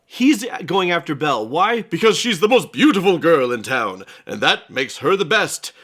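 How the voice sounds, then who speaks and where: A man co-hosting a casual film analysis chat as a therapist, earnest and explanatory, quick to laugh. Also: fairly high pitch, very animated, fairly bright tone. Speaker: therapist co-host.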